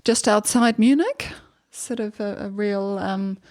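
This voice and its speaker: A woman from Germany with a German accent, a podcast guest talking in a relaxed, thoughtful way with many small pauses. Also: medium pitch, no echo, studio quality. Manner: thoughtful, hesitant with many ums. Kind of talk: casual interview chat.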